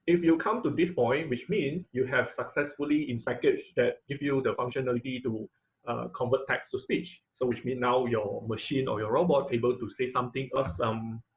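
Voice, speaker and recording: A man giving an informal live technical tutorial as an online class instructor, halting and repeating words, often checking in with "okay" and "right". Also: mid-range voice, low-quality recording. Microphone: online video call.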